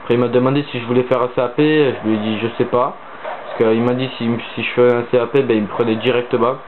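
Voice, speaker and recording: A man's voice: medium pitch, fairly even intonation, fast talker. Teen boy, stuffy with a cold, hesitant rambling gamer voice-over, tinny cheap mic. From southern France. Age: teens. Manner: rambling, hesitant with many fillers. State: stuffy-nosed from a cold, sniffling. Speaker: gaming channel host. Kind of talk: casual gaming commentary voice-over. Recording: tinny phone-like mic with faint hiss.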